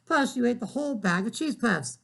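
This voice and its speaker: A man reading aloud, voicing a scolding, absent-minded mother who is a writer, with a slightly gravelly tone. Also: very high-pitched, lively intonation, brisk, fairly bright tone, voice pushed up, full character voice. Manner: scolding, impatient.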